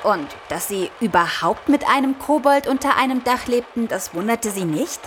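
A woman's voice: a little high, lively intonation, normal speed, fairly bright tone, a little hiss or hum. An actress playing a sceptical young adult daughter humouring her father in an audio drama, in a wry, casual, mocking way. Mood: sceptical, curious. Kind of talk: German audio drama dialogue, colloquial.

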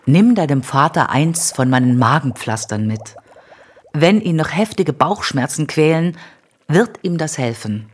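A wise older noblewoman who heals with herbs in a radio play. Her voice is low and husky. She speaks with measured, gentle authority, instructing kindly. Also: expressive, exaggerated voice. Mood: calm, instructing. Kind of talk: German audio drama acting.

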